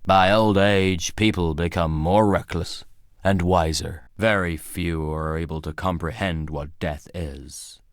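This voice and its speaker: Deep-voiced, solemn old judge. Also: male voice, no echo, studio quality. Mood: weary, philosophical. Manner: grave, portentous, formal pronouncements. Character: weary old male town judge, solemn and cryptic. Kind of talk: dramatic video game voice acting.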